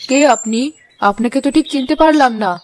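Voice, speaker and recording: A woman voicing a fast-talking old village wife. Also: high-pitched, slight background noise, close to an everyday voice. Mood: hesitant. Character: worried, practical old village wife and mother. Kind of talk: cartoon fairy tale dialogue.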